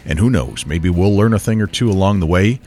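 Male co-host with a very low voice, easygoing and chatty. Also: faint music behind. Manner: relaxed, chatty, quick to laugh along. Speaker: podcast co-host. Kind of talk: casual chat.

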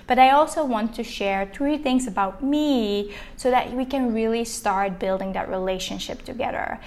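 A woman's voice: on the high side, normal speed. Chatty woman hosting a podcast. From Belgium. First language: Dutch. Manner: warm, chatty and encouraging. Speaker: podcast host, business coach. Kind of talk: solo podcast monologue.

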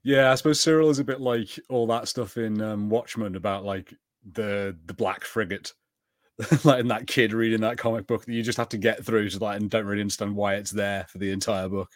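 A man's voice: lowish voice, steady tone, brisk, no room sound, studio quality. A man co-hosting a podcast, chatting casually about TV and tentatively thinking aloud. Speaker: podcast co-host. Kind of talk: casual TV review chat.

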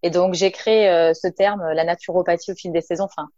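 A woman from the south of France talks casually in an interview, full of fillers and friendly asides. Her voice sits on the low side. The recording is dry and somewhat muffled. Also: steady tone, quick pace, darkish tone, no room sound. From Nice, south of France. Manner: chatty, many fillers, addresses listener informally. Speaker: naturopath and entrepreneur, guest.

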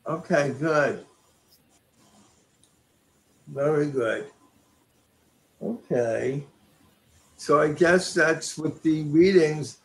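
Soft-spoken man talking slowly and low. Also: steady tone, creaky, low-quality recording. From New York and Connecticut. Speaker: psychic medium. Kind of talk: live online chat and readings.

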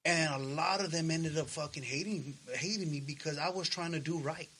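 A man's voice: on the high side, fairly quick, sharp tone, a little hiss or hum. A man in his thirties chatting casually as a podcast co-host, earnest and slangy, swearing freely. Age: 30s. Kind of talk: casual personal chat.

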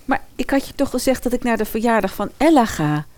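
A woman's voice: a little high, lively intonation, fairly quick, a little mellow, natural voice. A woman playing a modern schoolgirl in a fairy-tale radio play, breezy, frank and offhand. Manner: casual, offhand.

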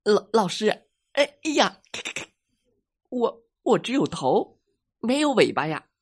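A timid little crab pupil that stammers in a high, fluttery voice, played by a man in a children's storybook. It trips over its words and sounds fretful throughout. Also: very animated, takes it slowly, husky, full character voice. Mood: flustered.

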